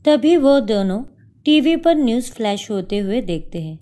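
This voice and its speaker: A woman narrating a family cartoon story in a measured, expressive way. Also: a little high.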